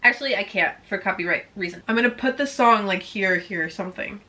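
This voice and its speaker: A woman's voice: mid-range voice, fairly quick, pushed and bright. A woman of about twenty whose first language is Swedish, chatting bubbly and casual through a Q&A with her viewers. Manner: bubbly, chatty, heavy use of "like". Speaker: vlogger.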